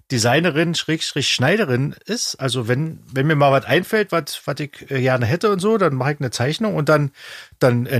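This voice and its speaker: A man's voice: fairly high pitch, a bit bright, no echo, studio quality. A man talking casually on a podcast, thoughtful with a few filler hesitations.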